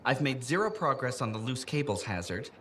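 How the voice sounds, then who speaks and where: An actor playing a fussy, earnest safety officer in a fort. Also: male voice, medium pitch, sing-song, fairly quick, fairly bright tone, a little hiss or hum.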